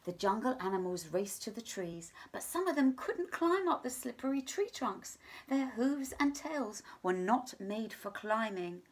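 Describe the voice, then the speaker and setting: A woman reading a children's story aloud in an expressive, deliberate storytelling manner, sometimes hushed. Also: on the high side. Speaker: teacher reading a picture book to children.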